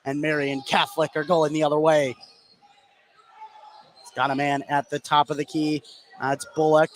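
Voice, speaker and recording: A man calling live high school basketball on the radio as play-by-play commentator, getting excited on big plays. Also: moderate pace. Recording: gym noise behind the voice. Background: gym ambience, bouncing basketball.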